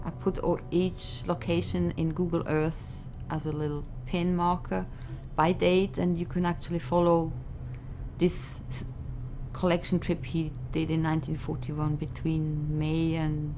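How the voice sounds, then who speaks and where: A woman from Switzerland who manages a museum's insect collections, explaining her work in a relaxed, matter-of-fact documentary interview. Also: sits low, measured pace, soft background music.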